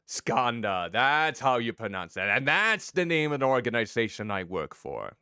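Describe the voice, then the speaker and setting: A man streaming a story game as a VTuber, chatting with his viewers in a playful, teasing way with a deep voice. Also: sing-song, moderate pace, sharp tone, clear, resonant tone, dry recording, studio quality. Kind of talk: casual gameplay commentary.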